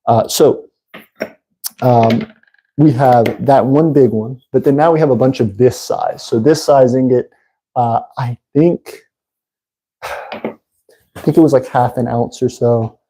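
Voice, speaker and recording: A man with a congested voice, hosting a casual livestream and talking haltingly with many fillers. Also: mid-range voice, dark tone, breathy edge, poor audio. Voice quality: congested, nasal. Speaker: livestream host. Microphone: phone.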